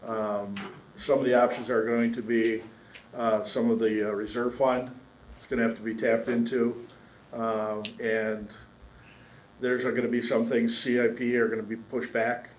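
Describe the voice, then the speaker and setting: A man chairing a county board meeting, speaking in a measured way with many fillers and restarts. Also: medium pitch, level tone. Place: council chamber. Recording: echoey meeting room with faint hum.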